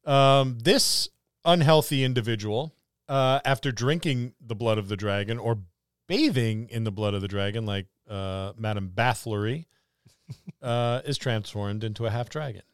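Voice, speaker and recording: Man chatting casually in a clear, smooth voice. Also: medium pitch, unhurried, no echo, clean studio sound. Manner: rambling, jokey. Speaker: podcast co-host. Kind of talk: casual chat about tabletop roleplaying.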